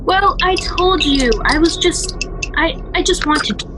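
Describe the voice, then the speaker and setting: A woman's voice: very high voice, a little slow, background noise. A woman playing an anxious suburban mother who hides her unease, in a hesitant, nervous manner, in an amateur radio drama. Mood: nervous, evasive.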